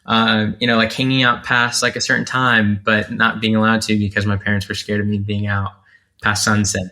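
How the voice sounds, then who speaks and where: A man's voice: lowish voice, flat delivery, fairly quick, bright, forceful tone. A man from North Carolina, a content creator talking about himself as an interview guest in a reflective way, with many fillers and restarts. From North Carolina, USA. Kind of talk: casual interview talk.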